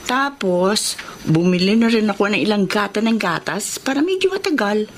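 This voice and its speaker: An actress playing a gentle, caring young wife and mother with a Filipino accent in a radio drama. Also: mid-range voice, animated, moderate pace, natural voice. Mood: soothing. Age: young adult. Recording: noisy radio drama track.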